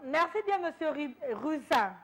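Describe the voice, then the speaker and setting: A woman's voice: high voice, lively intonation, a little slow. A woman hosting a radio call-in show, asking short questions and mixing in Lingala. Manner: short prompts, mixes French and Lingala. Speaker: radio host.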